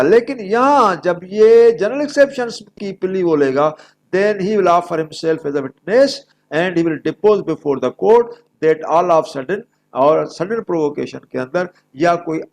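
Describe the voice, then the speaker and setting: Give me a Pakistani man as a law lecturer, high voice, slow and emphatic delivery. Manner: emphatic, repeats key phrases, mixes in English legal terms. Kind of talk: explanatory lecture mixed with reading legal text.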